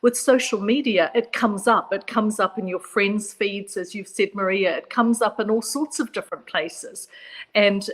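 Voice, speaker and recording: A middle-aged woman with a New Zealand accent, a psychologist speaking as a guest on a radio panel in a measured, reflective way. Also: medium pitch. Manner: measured, reflective, repeats points for emphasis.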